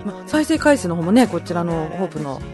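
A woman's voice: lowish voice, big pitch swings, quick pace, music in the background. A woman hosting a radio show, presenting segments and interviewing her guest. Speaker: radio show navigator, host.

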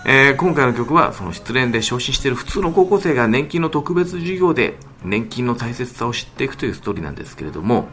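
A man talking on a radio show, explaining things politely with frequent drawn-out hesitations. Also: mid-range voice, very animated, fairly bright tone. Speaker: band leader, guest talker on radio show. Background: background music.

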